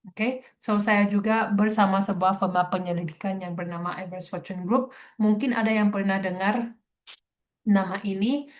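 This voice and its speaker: Sabahan woman hosting a trading webinar. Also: medium pitch. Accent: Sabahan Malay. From Sabah, Malaysia. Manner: chatty, mixes English words into Malay. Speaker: webinar host and trader.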